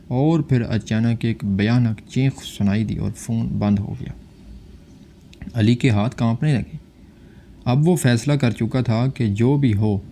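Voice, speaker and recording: A man's voice: mid-range voice, dark tone, slight background noise. A man reading a scripted suspense story as its narrator.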